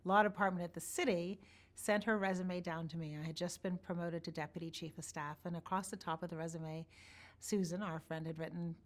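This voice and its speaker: A woman in an interview, telling personal stories in a measured, thoughtful way with pauses. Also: on the low side, steady tone. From born in Iran, grew up partly in Chicago. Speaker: interview guest. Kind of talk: reflective personal storytelling.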